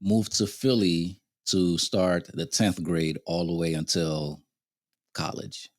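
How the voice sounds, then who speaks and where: A man in his thirties with a deep, low voice tells his life story as an interview guest, laughing easily as he goes. He grew up in Haiti and Venezuela. The sound is close and dry, with no room around it. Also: fairly even intonation, takes it slowly, no echo. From Haiti, born in Venezuela. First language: Haitian Creole or Spanish. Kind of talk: casual interview conversation.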